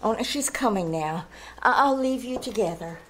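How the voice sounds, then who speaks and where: An actress playing a gracious, compassionate young princess in old-time radio drama style, with refined, theatrical exclamations. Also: female voice, fairly high pitch, animated, moderate pace, noisy background, lightly acted. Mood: earnest, concerned. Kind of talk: old-time radio drama acting, live stage performance.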